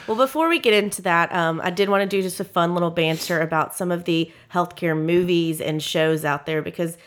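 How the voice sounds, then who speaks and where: A woman's voice: lowish voice, fairly quick. A woman, sounding nasal from allergies, hosting a casual podcast in an upbeat, friendly way. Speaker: podcast host.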